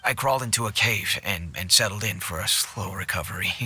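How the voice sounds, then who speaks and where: A man playing a gentle, halting father in a video game cutscene, repeating words as he goes, with noise behind him. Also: deep voice. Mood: halting, sorrowful.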